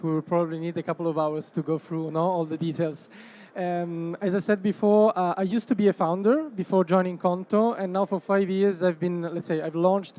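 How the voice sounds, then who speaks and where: A man's voice: high-pitched, a little mellow. A man, a company executive, answering a panel question in a conversational way with repeated filler phrases.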